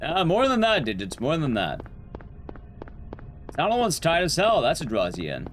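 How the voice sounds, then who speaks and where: A man's voice: a little high, wildly swooping pitch, moderate pace, fairly bright tone, slight background noise. A man streaming a game, giving informal, rambling commentary to his chat. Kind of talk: casual live commentary to chat. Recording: game music behind the voice.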